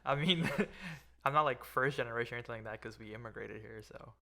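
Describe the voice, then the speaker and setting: A man from Guyana co-hosting a casual podcast, trading jokes and quick wisecracks. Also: mid-range voice, creaky, a crowd in the background. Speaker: podcast co-host. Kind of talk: casual chat.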